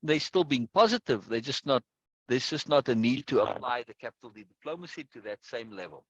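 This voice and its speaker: A man speaks close into a boom mic during a casual video-call discussion, with a loud, dry, present sound. He lays out his point at length, circles back to it, and keeps asking his listener whether she follows. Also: expressive, brisk, breathy edge, no room sound, poor audio. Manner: explains at length with repeated check-ins like "right?" and "yes?". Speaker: discussion leader.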